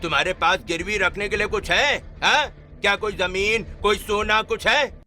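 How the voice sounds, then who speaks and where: Sharp, high-voiced sneering contractor boss played by a man. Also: normal speed, faint music behind. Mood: contemptuous. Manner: sneering, mocking rhetorical questions. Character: harsh, scornful male construction contractor, greedy bully boss. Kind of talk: melodramatic Hindi story dramatization.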